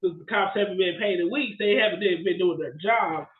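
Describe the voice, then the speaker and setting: A man's voice: sits high, normal speed, reverberant, narrow, tinny audio. A man co-hosting a podcast, retelling movie scenes casually and animatedly, often checking in with his listener. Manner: animated storytelling with frequent "right?" check-ins. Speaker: podcast co-host.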